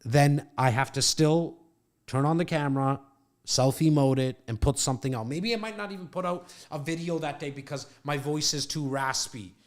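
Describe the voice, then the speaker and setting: A man's voice: fairly high pitch, moderate pace. A middle-aged man hosting a podcast, coaching a guest in a brash, profane pep-talk manner from his studio. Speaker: podcast host and real estate coach. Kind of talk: casual mentoring chat. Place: home studio.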